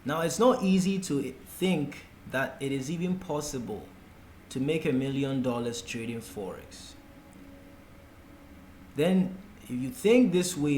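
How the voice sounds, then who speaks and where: Ghanaian man, slow-talking tutor in echoey room. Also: fairly high pitch, darkish tone. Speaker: forex trading tutor. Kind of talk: explanatory tutorial talk, unscripted. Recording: echoey room with faint background noise.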